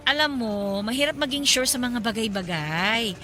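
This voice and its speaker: A woman's voice: on the high side, expressive, moderate pace, pushed and bright. An actress playing a practical, guarded young woman from the province in a radio drama, speaking conversationally and matter-of-factly. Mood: earnest, cautious. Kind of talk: Tagalog radio drama acting. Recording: music behind the voice.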